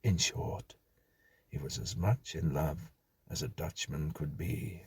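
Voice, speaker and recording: A man reading a gothic story as an audiobook narrator, in a gravelly, husky voice with a measured, dramatic, formal literary delivery. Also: a bass voice, a little mellow, dry recording.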